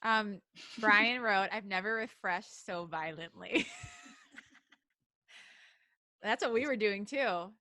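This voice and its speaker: A woman's voice: medium pitch, slow, long pauses, pushed and bright. A woman co-hosting a casual live podcast, chatting with jokey, wry banter. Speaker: podcast co-host.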